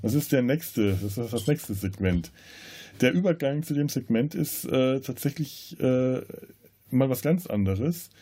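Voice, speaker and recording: A man's voice: mid-range voice, expressive, unhurried, mellow, breathy edge. A man talks about a film as a podcast host in a casual way, often searching for words. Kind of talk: casual film discussion with scene retelling.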